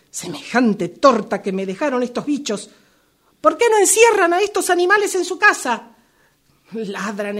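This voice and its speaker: A woman in an amateur radio drama playing a grumpy, pious retired old woman with a husky Rioplatense Argentine accent, complaining and exclaiming melodramatically. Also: sits high, heavily acted. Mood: aggrieved lament, then indignant.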